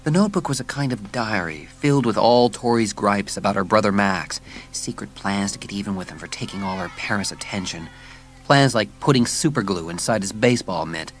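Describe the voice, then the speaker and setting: A man's voice: lowish voice, a little fast. A man reading scripted narration as the storyteller of a dramatised audiobook.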